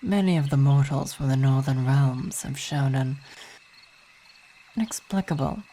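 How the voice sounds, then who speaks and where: A woman playing a weary vampire wife and coven commander, speaking in intimate ASMR roleplay with measured, hesitant, formal phrasing, tender yet imperious. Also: very deep voice. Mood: proud, composed. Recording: steady night insect ambience behind voice.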